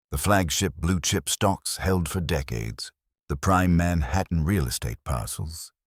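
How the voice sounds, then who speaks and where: Very deep-voiced male story narrator. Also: no echo, studio quality. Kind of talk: scripted first-person drama narration.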